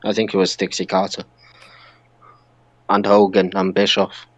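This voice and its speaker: A man's voice: deep voice, completely flat delivery, moderate pace, dry recording, low-quality recording. A British man chatting casually and with strong opinions as co-host of a wrestling podcast. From United Kingdom.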